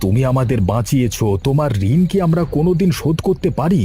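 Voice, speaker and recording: A man's voice: fairly high pitch, lively intonation, fast, warm tone, faint music behind, lightly acted. A man playing a humble, loyal village fisherman in a cartoon, speaking earnestly and plainly. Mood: grateful, devoted. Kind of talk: Bengali horror cartoon voice acting.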